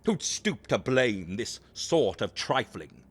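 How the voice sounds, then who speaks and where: A man playing a proud, jealous Renaissance duke in an audio drama, speaking verse in a haughty, deliberate, emphatic manner. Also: medium pitch, sing-song, slightly rough, exaggerated voice. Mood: indignant, bitter.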